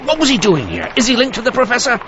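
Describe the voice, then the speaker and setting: An actor playing a brisk, dogged Victorian police inspector in an old-time radio mystery, deliberate and formally courteous. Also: male voice, very high voice, sing-song, crowd noise. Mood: brooding, wry. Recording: muffled radio drama recording.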